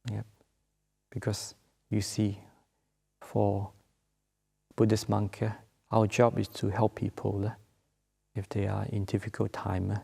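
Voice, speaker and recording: A man from Malaysia with a Malaysian accent, speaking English as a second language, giving a Buddhist Dharma talk as a monk, gentle and halting, with many filler sounds. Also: lowish voice, vocal fry, husky. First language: not English. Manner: gentle, unhurried teaching.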